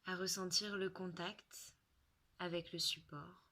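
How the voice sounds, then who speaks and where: A woman leading a guided relaxation in French, soothing and unhurried, with soft, breathy phrase endings and long pauses. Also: fairly low pitch, little pitch movement.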